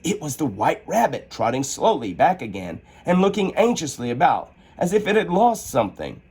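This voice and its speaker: Male storyteller, children's book narration, animated intonation, husky tone, mid pitch. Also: moderate pace, low-quality recording.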